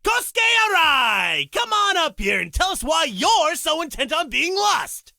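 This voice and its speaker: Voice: sits high, big pitch swings, unhurried, creaky, bright, forceful tone, dry recording, shouting, clean studio sound, exaggerated voice. An actor playing a flamboyant, teasing demon master of ceremonies in a visual novel, announcing with theatrical relish. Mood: gleeful. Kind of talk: character acting in a visual novel.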